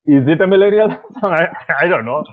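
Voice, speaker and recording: A man in his thirties from Sri Lanka, a singer talking casually in an interview over a video call, with a rough voice and a relaxed, rambling way of speaking full of fillers. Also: on the high side, quick pace. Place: small indoor room at night. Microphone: earphones on a video call. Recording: tinny phone-like call audio.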